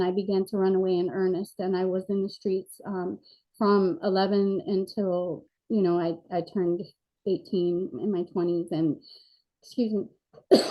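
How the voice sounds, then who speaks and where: Earnest woman in her forties, flat-toned. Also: medium pitch. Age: 40s. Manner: earnest, reflective, frequent fillers. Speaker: interview guest. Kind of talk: candid personal interview. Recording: dull lo-fi sound.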